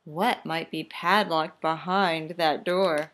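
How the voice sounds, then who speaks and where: A woman's voice: deep voice, fairly even intonation, slow, background noise. A woman teacher reading a children's picture book aloud, expressively and with careful, instructive phrasing. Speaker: teacher demonstrating a read-aloud.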